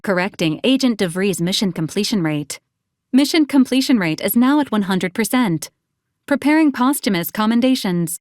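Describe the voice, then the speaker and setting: A woman voicing a formal, precise and deadpan female robot assistant in a video game, polite and cheerfully morbid. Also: mid-range voice, dry recording, studio quality, close to an everyday voice. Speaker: robot assistant to a military commander. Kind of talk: scripted video game voice lines, formal announcements.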